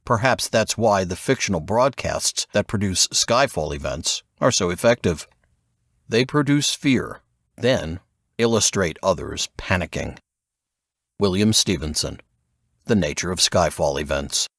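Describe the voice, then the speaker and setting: A man's voice: deep voice, expressive, measured pace, fairly bright tone, no room sound, studio quality. A man reading a thriller novel aloud as scripted narration, with dramatic expression. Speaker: audiobook narrator.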